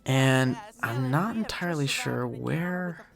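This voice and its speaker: A man's voice: medium pitch, animated, takes it slowly, creaky. A man giving casual, unscripted commentary as the creator of a series, rambling and thinking aloud. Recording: music behind the voice. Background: music and audio of the episode.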